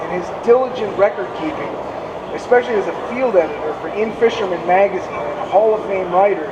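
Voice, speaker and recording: A hoarse man gives a rambling, explanatory seminar talk, his voice pitched high for a man. He sounds distant from the microphone in a roomy, echoing hall, with a steady air-conditioning hum behind him. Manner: explanatory, digressive, with restarts. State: hoarse from speaking. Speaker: fishing seminar presenter. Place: expo seminar hall, indoors.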